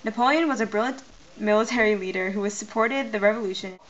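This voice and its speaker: A woman's voice: medium pitch, quick pace. A teenage female student reading scripted history narration. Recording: dull, faintly noisy amateur recording.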